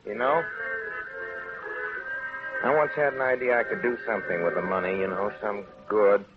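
A wholesome small-town innocent played by a male actor, earnest and plain-spoken in a 1930s radio play. His voice is on the low side. The recording is thin and phone-like, with music behind. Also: steady tone, moderate pace, natural voice. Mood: wistful, shy. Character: wholesome, naive small-town young man, shy dreamer. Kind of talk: 1930s radio drama acting.

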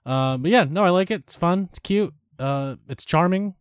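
A man's voice: high-pitched, moderate pace, clean tone, dry recording, studio quality. A man co-hosting a podcast, chatting in an easygoing way with lots of fillers and upbeat asides. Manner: easygoing, filler-heavy, enthusiastic. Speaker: podcast co-host. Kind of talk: casual chat.

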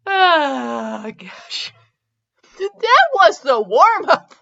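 A woman's voice: high voice, very animated, very slow, gravelly, sharp tone, muffled recording. A woman hosting a comedy fanfiction reading, giggly and mock-dramatic, breaking off into casual asides. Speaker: podcast host reading fanfiction.

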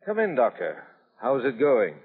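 An actor playing an earnest, steady prison officer in charge, speaking with measured authority in an old film drama. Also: male voice, mid-range voice. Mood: concerned. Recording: muffled old film soundtrack, long echo.

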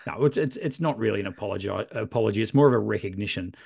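Croaky Australian man chatting. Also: fairly low pitch, fast talker, darkish tone. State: hoarse from overuse. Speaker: podcast co-host. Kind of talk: casual chat.

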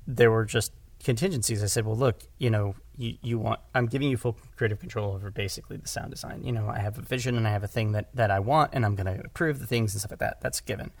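A man's voice: fairly low pitch, fast talker, creaky, few pauses, dry recording. A man who directs audio drama, talking casually in a podcast conversation and often correcting himself as he goes.

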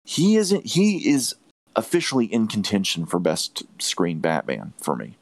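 Deep-voiced man giving emphatic film opinions. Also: wildly swooping pitch, unhurried, dry recording. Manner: emphatic, repeats phrases for stress. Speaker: podcast host reviewing films. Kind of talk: opinionated movie review chat.

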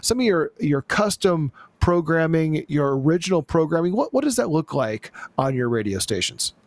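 A man's voice: fairly high pitch, sing-song, normal speed, dry recording. A man hosting a radio show, interviewing guests in a conversational way. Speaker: radio host.

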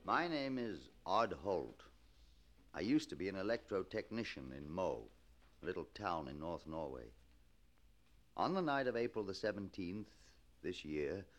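An actor playing a Norwegian electrotechnician under occupation in a 1940s English-language radio drama. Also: male voice, low voice.

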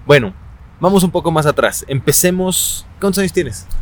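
A man's voice: on the high side, moderate pace, a little hiss or hum. A man interviewing a guest, musing aloud in a reflective way and checking in with short tag questions. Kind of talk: casual conversation.

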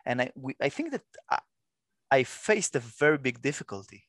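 A man's voice: medium pitch, big pitch swings, normal speed, fairly bright tone, no echo, clean studio sound. A man with an Israeli accent whose first language is Hebrew, a guest in an interview who talks with many restarts and repeated words. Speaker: guest, nonprofit leader. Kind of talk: podcast interview.

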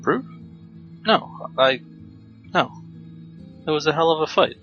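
A man playing a proud dying fighter in an audio drama, his delivery strained and slow. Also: a little high, expressive, fairly bright tone, heavily acted. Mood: spent, proud. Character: dying male fighter, proud and grinning to the end. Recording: dull lo-fi sound under music.

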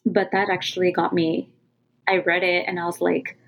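A woman's voice: lowish voice, level tone, moderate pace, gravelly. A woman from California, a guest author who talks openly in casual interview style, with many ums and restarts as she searches for words. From California, USA.

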